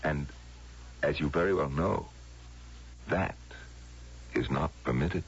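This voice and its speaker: An actor playing a calmly insistent, condescending husband in a vintage radio drama, with measured, hesitant coaxing. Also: male voice, rumbling low. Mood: soothing, controlling. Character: patronizing husband Willis, calmly insistent, soothing. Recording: dull vintage radio recording, faint hum.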